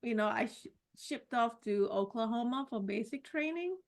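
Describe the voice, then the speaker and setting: A middle-aged woman from Singapore, a podcast guest telling her life story in a casual, reflective way with many pauses and restarts. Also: fairly high pitch, animated. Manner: warm, reflective, with frequent "you know" and restarts. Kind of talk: personal story in a casual interview.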